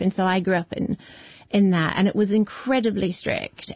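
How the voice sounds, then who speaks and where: A New woman in her forties speaks low and gravelly, with a husky edge. She reflects at length, leaning on "you know". The sound is dry and dull, lo-fi. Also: dry recording. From New Zealand. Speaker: novelist guest. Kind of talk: interview answers by remote link. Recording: dull lo-fi dry remote audio.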